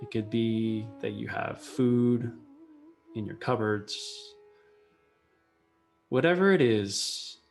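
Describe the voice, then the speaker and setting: A man leading a guided meditation, speaking in short unhurried phrases with pauses between them. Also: mid-range voice, animated, gravelly. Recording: soft music behind the voice. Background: meditation music.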